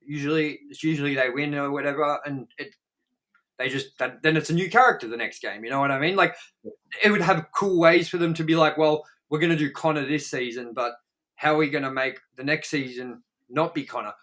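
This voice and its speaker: A man chatting casually as a review show co-host, rambling emphatically and swearing freely as he pitches ideas. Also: brisk, fairly bright tone.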